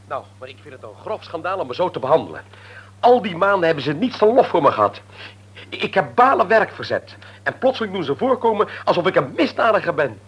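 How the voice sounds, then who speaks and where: Indignant, stubborn son, husky lively voice. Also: male voice, fairly high pitch, fast talker. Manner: aggrieved, argumentative, insistent. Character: indignant grown son, demoted at work, stubbornly defending himself. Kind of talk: radio drama acting. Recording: old radio play recording.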